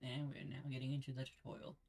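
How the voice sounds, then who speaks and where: A man's voice: medium pitch, completely flat delivery, fast talker, a little mellow. A man hosting a let's play, chatting casually with a co-host. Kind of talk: casual gameplay chat with a co-host.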